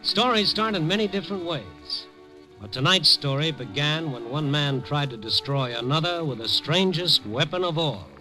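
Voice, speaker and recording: A man's voice: a little high, animated, natural voice, poor audio. A man playing a world-weary night reporter. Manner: wry, streetwise, measured narration. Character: hard-boiled male newspaper reporter narrating. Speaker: narrator and lead. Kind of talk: 1950s radio drama acting. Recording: old radio broadcast with music.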